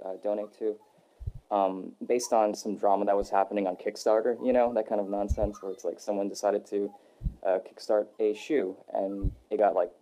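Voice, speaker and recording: A young adult man answering interview questions in a relaxed, rambling way, with many fillers and restarts. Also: on the low side. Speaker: voice actor guest. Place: Texas.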